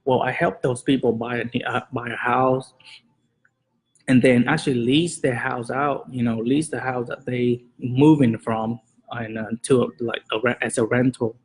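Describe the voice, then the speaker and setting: Husky-voiced man giving casual tips. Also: medium pitch, a little slow, low-quality recording. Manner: relaxed, many fillers, slightly nonstandard grammar. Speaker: real estate agent and show host. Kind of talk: casual advice talk to camera.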